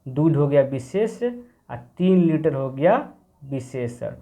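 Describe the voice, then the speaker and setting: A man's voice: mid-range voice, lively intonation, unhurried, vocal fry, warm tone. A man teaching a grammar workbook to young children, asking questions and answering them himself as he repeats the key words. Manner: mixes English words into the explanation.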